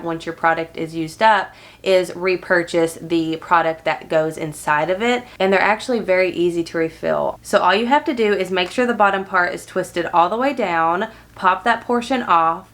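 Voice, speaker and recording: A woman's voice: fairly low pitch, a bit bright. A woman in her thirties with a nasal, congested voice from allergies, chatting upbeat to camera in a cozy living room. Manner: chatty, upbeat, friendly direct address. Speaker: lifestyle vlogger. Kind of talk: casual talk to camera.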